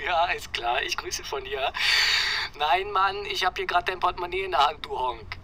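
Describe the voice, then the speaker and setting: A teasing buddy in a casual radio drama scene. His voice is high for a man and slangy, set against clear street and car noise. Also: normal speed, pushed and bright, low-quality recording. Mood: mocking, amused.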